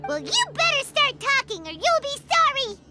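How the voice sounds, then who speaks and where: A woman voicing a tiny, feisty fairy girl in a video game, with a squeaky childlike voice and excitable, blunt delivery. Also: moderate pace, over loud music. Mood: excited, scolding.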